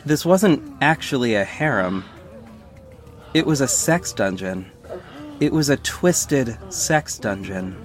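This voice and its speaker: A man's voice: medium pitch, lively intonation, slow. A man playing a wry young superhero who narrates his own mission in scripted first person. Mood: measured, descriptive. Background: music bed.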